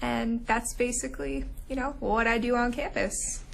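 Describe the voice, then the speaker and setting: A woman's voice: a little high, normal speed, creaky, slight background noise. Young woman, easygoing radio guest. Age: young adult. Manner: relaxed, hedging with "kinda" and "I guess". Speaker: radio show co-host, guest. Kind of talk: casual interview chat. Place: radio studio.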